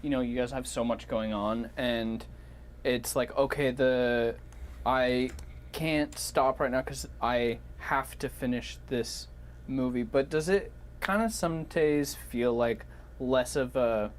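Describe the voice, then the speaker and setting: A man's voice: mid-range voice, takes it slowly. A man in casual conversation, phrasing his questions carefully and tentatively. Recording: background noise and ambience.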